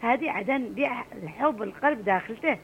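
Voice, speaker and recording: Adeni lady calling the radio, high voice, talks super fast, kinda muffled line. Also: animated, slight background noise. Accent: Adeni Arabic. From Aden, Yemen. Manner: passionate, emphatic. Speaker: radio caller. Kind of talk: call-in radio chat.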